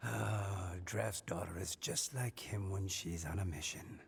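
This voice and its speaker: An actor playing a frail, kindly old man in a video game, with a creaky, halting voice and gentle chuckles. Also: low-pitched, a little hiss or hum. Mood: amused. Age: older. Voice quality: creaky, aged voice. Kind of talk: video game cutscene acting.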